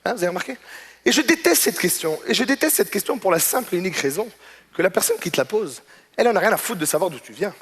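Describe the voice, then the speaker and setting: A man's voice: high-pitched, lively intonation, fast talker, fairly bright tone, breathy edge, big-room sound. A man performing stand-up comedy, telling stories conversationally and addressing the audience directly. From Morocco or Moroccan family in Quebec. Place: comedy gala stage.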